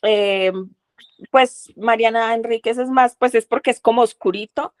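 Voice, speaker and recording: A woman's voice: fairly high pitch, fairly even intonation, a little slow, dry recording. Woman thinking aloud, very dry sound. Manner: rambling, thinking aloud, many fillers and restarts. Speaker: book club participant. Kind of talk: casual group discussion.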